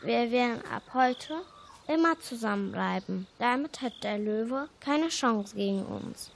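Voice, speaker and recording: A woman playing a worried, sensible bull in an amateur children's fable radio play, speaking earnestly and deliberately. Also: fairly high pitch, animated, gravelly, a little hiss or hum. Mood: earnest, resolute.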